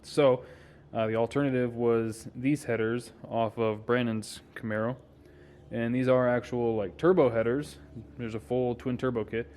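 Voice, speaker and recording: A man's voice: medium pitch, steady tone, normal speed, darkish tone, slight background noise. An American man narrating a garage car-build vlog informally, thinking aloud as he works. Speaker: car builder and video host. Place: home garage.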